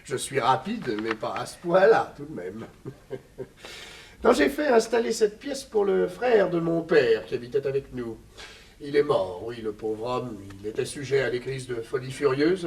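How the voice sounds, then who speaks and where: An actor playing a smug, genteel captor with unctuous, over-courteous manners, in a 1960s French radio serial. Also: male voice, mid-range voice, animated, normal speed, creaky, distant mic, slight background noise. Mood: smug, mock regretful. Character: suavely polite male villain, smug genteel captor.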